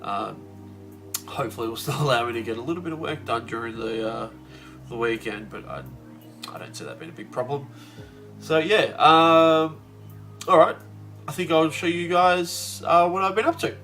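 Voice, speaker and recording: A young man vlogging casually about his comic work, relaxed and rambling with lots of fillers, a bit sniffly with his voice slightly off. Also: fairly high pitch, moderate pace, faint music behind. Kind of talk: casual video diary.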